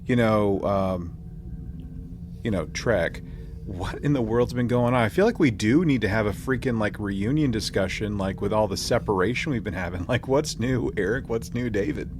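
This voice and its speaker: A man's voice: on the low side, normal speed, background noise. A man hosting a podcast with casual banter in a joking, slangy manner. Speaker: podcast host.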